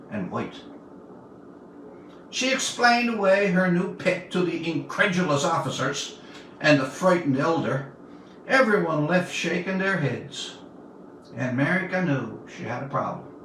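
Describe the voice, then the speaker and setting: A man in his seventies from Newfoundland, Canada, reads his own story aloud expressively, with deliberate dramatic pauses between phrases. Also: high voice, a bit bright. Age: 70s. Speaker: author reading own story. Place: indoor room, livestream reading. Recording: roomy, distant mic with faint noise.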